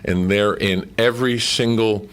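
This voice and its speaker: A man's voice: on the low side, steady tone, a little slow, fairly bright tone. A man telling anecdotes as a guest in a casual studio chat, with conversational asides. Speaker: guest, amateur radio play actor.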